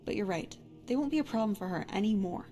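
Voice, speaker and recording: A woman's voice: mid-range voice, animated, fast talker, mellow, soft background music, natural voice. A woman playing a tough, sardonic but caring adventuring companion in a fantasy video game. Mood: wry, weary. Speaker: voiced follower in a game mod.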